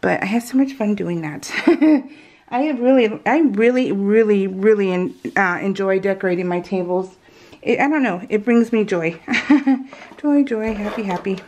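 An American woman chatting casually as she narrates a craft project, rambling and giggly, with slightly unusual soft R sounds. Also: mid-range voice, a little slow. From California, US. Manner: giggly, rambling, self-interrupting. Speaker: craft vlogger. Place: indoors at home, at a craft table.

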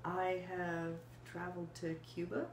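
An older woman calmly explaining her views in a casual conversation. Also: lowish voice. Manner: measured, reflective, explaining. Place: small room indoors. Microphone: fixed camera, seated. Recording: roomy with faint background hiss.

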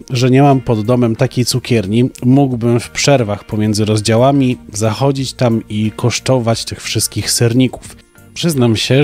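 A young man speaks as a podcast co-host, giving a prepared book review. His voice sits at an average male pitch, with music playing behind him. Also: a little mellow. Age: young adult. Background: background music.

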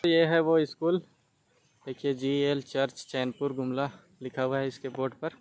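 A man's voice: mid-range voice, level tone, moderate pace, darkish tone, clean tone. A young man walking through rural lanes and a street market, narrating a casual travel vlog to his viewers on a handheld phone. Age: young adult. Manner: chatty tour-guide commentary, addressing viewers as friends. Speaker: travel vlogger. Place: outdoors, rural roads and busy street market. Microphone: handheld phone camera. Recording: outdoor phone recording with street noise.

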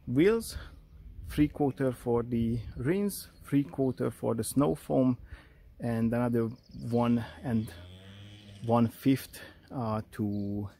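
A man's voice: mid-range voice, dark tone. A young man casually narrating a car wash on an outdoor driveway, plain and matter-of-fact, counting out amounts. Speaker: car-care vlogger.

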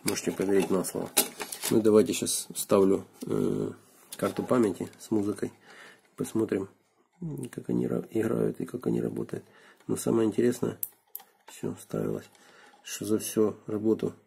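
A man with a hoarse voice from a cold, casually thinking aloud with fillers as he takes apart a gadget at his desk. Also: fairly low pitch, lively intonation, normal speed, mellow. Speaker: video blogger. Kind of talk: casual hands-on gadget teardown. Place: indoors at a wooden desk.

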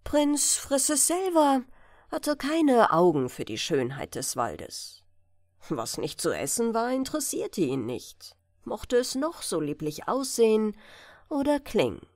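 A woman narrating a fairy tale as an expressive bedtime audiobook reading. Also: fairly high pitch, slow, no room sound, studio quality.

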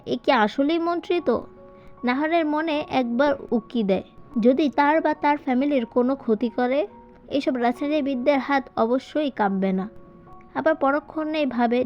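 High-voiced woman narrating a story over music. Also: highs cut off. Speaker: audiobook narrator. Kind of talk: dramatic scripted story narration. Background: background music.

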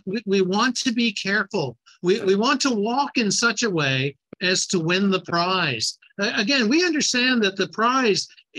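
A man's voice: high-pitched, sharp tone. A man in his sixties giving a Bible teaching talk, reading scripture aloud and often repeating words as he restarts his sentences. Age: 60s. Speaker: Bible lecturer.

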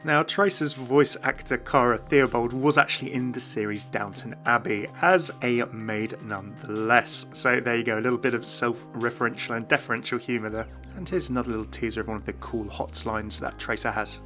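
Fast, bright man narrating game lore. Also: medium pitch, fairly even intonation, faint music behind. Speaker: host, video narrator. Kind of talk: scripted game lore commentary.

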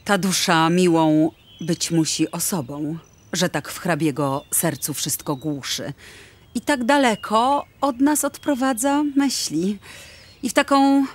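A woman's voice: lowish voice, a little slow. An actress playing a polite, fussing society mother and hostess in a radio drama, with a mannered, genteel air. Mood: arch, probing.

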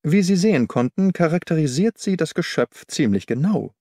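A man voicing an astute, self-assured gentleman detective in an audiobook, precise and composed as he explains. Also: on the high side, big pitch swings, moderate pace, dry recording, clean studio sound. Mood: composed, explanatory. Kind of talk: audiobook character dialogue.

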